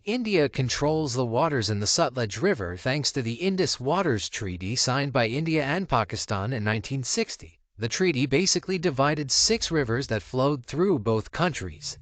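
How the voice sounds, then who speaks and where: Man narrating with lively, swooping intonation. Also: medium pitch, dry recording. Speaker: narrator of a viral video compilation. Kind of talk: scripted narration.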